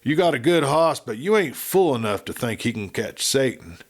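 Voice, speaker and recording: A man voicing an angry, vengeful cowboy in a Western story, talking in rough frontier dialect. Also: mid-range voice, very animated, normal speed, pitched above the performer's own voice. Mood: scolding. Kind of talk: Western audiobook character acting.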